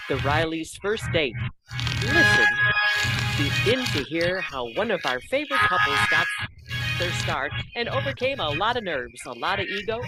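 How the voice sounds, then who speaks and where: Lively radio show announcer over loud music. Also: mid-range voice, low-quality recording. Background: prominent music.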